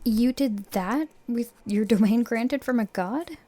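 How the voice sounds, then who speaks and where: A woman playing a calm, reasonable adventurer in a fantasy audio drama, measured and diplomatic. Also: on the high side. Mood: curious, measured. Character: calm, reasonable female adventurer organising the party.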